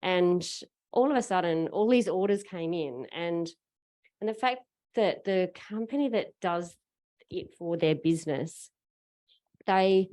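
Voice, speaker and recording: A woman's voice: lowish voice, lively intonation, unhurried, mellow. A woman on a conference panel, telling a story in a chatty, rambling way. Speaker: panelist, parent fundraiser. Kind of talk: casual panel talk.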